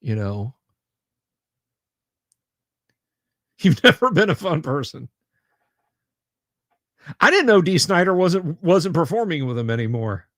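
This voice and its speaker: A man hosts a late-night livestream in an unhurried, rambling way, stumbling over repeated words and dropping dry, sarcastic remarks. His voice sits a touch high for a man. The sound is close and dry, with no room echo. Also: sing-song, fairly bright tone. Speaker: livestream host. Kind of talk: casual chat reading viewer comments.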